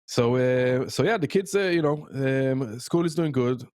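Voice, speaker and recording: Israeli-accented man, middle-aged, halting podcast guest, dry close mic. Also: dry recording. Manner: halting, many fillers and restarts, lively pitch jumps. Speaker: podcast guest, music educator. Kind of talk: casual interview chat.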